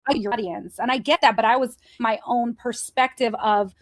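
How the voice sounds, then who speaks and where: A higher-voiced woman hosting a frank conversation. She speaks in a chatty, animated way, jumps in with her own stories, and breaks into laughter easily. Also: normal speed. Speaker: host. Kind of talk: candid conversation.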